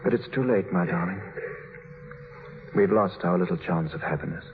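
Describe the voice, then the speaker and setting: Tormented husband, very low voice, grave and bitter, 1950s radio drama, thin phone-like sound. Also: male voice, normal speed. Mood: grim, resigned. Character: tormented, brooding husband burdened by guilt. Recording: thin, faintly noisy vintage radio recording.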